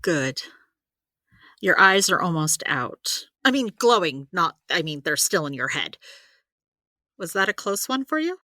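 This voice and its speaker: Chatty, animated, bright-voiced healer. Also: female voice, fairly low pitch, a little slow, pauses often, dry recording, studio quality, heavily acted. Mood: concerned. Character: supernatural healer woman, practical, chatty, gently caring mentor. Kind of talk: audio drama dialogue.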